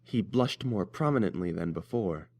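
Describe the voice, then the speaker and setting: A man's voice: low-pitched, expressive, normal speed, a little mellow. A man narrating a scripted story as its reader.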